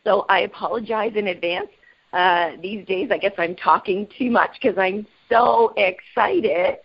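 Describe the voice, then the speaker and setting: A woman with a raspy voice today, leading a motivational group call in an upbeat, energetic way and asking the group questions. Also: mid-range voice, measured pace, highs cut off. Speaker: team call leader.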